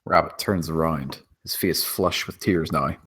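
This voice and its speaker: A man's voice: very deep voice, measured pace, bright, forceful tone, husky. A man reading scripted screenplay action as the narrator.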